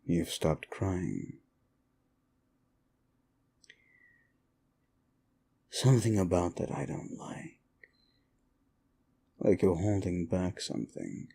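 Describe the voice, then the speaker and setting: Male demon, played by a man, comfort ASMR roleplay: dark, unhurried voice, grudgingly soothing, drily menacing. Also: low-pitched, sing-song, gravelly, full character voice. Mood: soothing, hushed. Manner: slow, deliberate, dryly menacing yet soothing. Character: male demon, sinister soul-eater grudgingly soothing a human. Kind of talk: comfort ASMR roleplay, intimate address to the listener.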